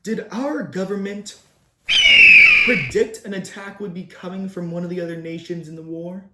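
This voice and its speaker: Teen boy as a deadpan newsreel anchor. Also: high-pitched, moderate pace, heavy background noise. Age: teens. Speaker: news anchor. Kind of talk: mock newsreel hosting. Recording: roomy echoing room.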